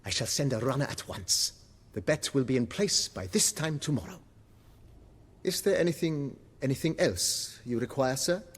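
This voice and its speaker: A man playing a deferential, fussy butler in a video game, with a breathy, husky voice and formal, florid, obsequious speech. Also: mid-range voice, expressive, moderate pace, in a large hall. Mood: eager, deferential. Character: deferential, fussy, eloquent male butler of an estate.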